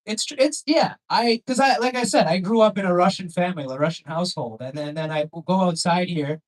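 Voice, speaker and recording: This is a Canadian man doing stand-up on a comedy club stage, in a voice pitched a bit higher than most men's. He talks in a rambling, conversational way with a sardonic edge, swears, and turns to question the audience as he goes. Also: fairly quick, low-quality recording. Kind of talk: stand-up comedy with crowd work.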